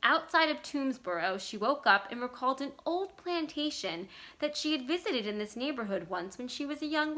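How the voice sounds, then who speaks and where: A woman reading literary fiction aloud as expressive scripted narration. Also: a little high. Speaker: library staff story reader.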